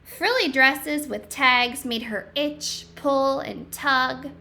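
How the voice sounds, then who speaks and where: A woman reading a picture book aloud to children in an expressive, emphatic way, pausing to explain and ask questions. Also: high voice, slight background noise.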